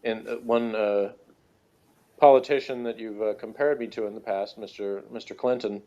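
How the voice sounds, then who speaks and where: A man's voice: lowish voice, fairly even intonation, moderate pace, dark tone, lo-fi sound. A man in a casual unscripted discussion as a podcast co-host, hesitant and rambling, often circling back over his phrases. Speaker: podcast co-host reviewing a TV show.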